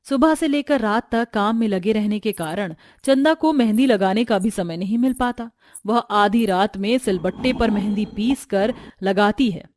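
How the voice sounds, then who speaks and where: A woman's voice: medium pitch, normal speed, darkish tone, no room sound. A woman narrating a scripted family story as a storyteller.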